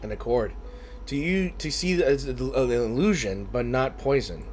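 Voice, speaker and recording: A man's voice: medium pitch, lively intonation, normal speed, a little mellow, a little hiss or hum. A man hosting a gaming video with casual, spontaneous reaction commentary. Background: faint game music.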